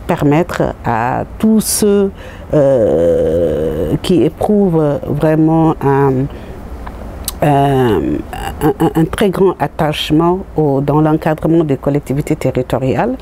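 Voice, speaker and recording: A middle-aged woman with a Senegalese accent explains her work as an author in a halting, word-searching way. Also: deep voice, mellow. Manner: hesitant, halting, searching for words. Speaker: author and jurist presenting own book. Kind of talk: explanatory promotional interview. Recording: noticeable background noise.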